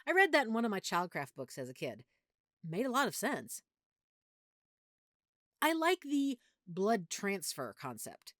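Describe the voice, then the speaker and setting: A woman's voice: mid-range voice, wildly swooping pitch, unhurried, long pauses, fairly bright tone, dry recording, clean studio sound. A woman playing an affectionate, opinionated girlfriend who is secretly a vampire, in an intimate, conversational audio roleplay. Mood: playful, opinionated. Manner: chatty, playful, geeky explaining.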